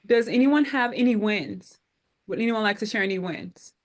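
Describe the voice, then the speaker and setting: A woman's voice: medium pitch, moderate pace. A woman hosting an online group call, welcoming people in an upbeat way with frequent fillers. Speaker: head of operations, meeting host.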